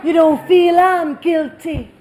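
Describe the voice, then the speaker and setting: Very high, drawling, dramatic wife. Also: female voice, fairly even intonation, slow pace, warm tone, slight background noise. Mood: teasing reproach. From Jamaica. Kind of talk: theatrical stage play acting. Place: theatre stage before an audience. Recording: echoey theatre stage recording.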